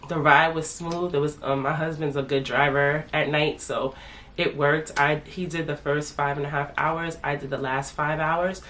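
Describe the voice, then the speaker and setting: A woman's voice: low voice, steady tone, moderate pace, a bit bright, slight background noise. A woman in her thirties chatting casually as a family vlogger, congested from a sinus infection and calling herself "your girl". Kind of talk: casual chat to camera.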